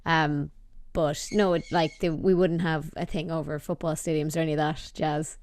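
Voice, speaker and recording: A woman's voice: low-pitched, level tone, moderate pace, darkish tone, no echo, studio quality. A woman with an Irish accent hosting a podcast, chatting casually and asking curious questions. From Ireland. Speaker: podcast host. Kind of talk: casual chat.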